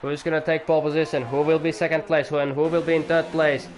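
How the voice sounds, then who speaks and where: A man's voice: a little high, level tone, fast, slight background noise. A young man giving live sim-racing commentary as the league's owner, rambling and chatty with jokey asides to viewers.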